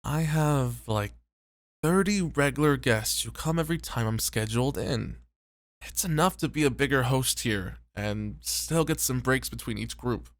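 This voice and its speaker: A man playing a tired, popular host and teasing flirt in an ASMR roleplay, with relaxed banter. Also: mid-range voice, measured pace, no echo, lightly acted. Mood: weary, hesitant.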